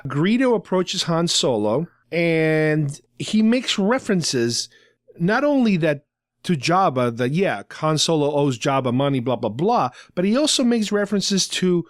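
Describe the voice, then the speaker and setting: A man's voice: a little high, big pitch swings, measured pace, no room sound, studio quality. A man hosting a podcast, casually recapping in a conversational way with loose paraphrases of dialogue. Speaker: podcast host. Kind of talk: casual fan discussion, recapping a radio drama.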